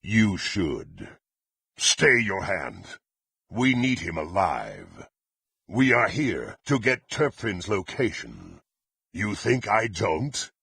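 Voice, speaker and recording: An actor playing a proud, menacing, bloodthirsty warrior in a fantasy video game, with a gravelly, husky voice and an imperious, threatening manner. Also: male voice, deep voice, huge pitch swings, takes it slowly, bright, forceful tone, no room sound, studio quality, heavily acted. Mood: cold, threatening. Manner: imperious, threatening, formal archaic phrasing.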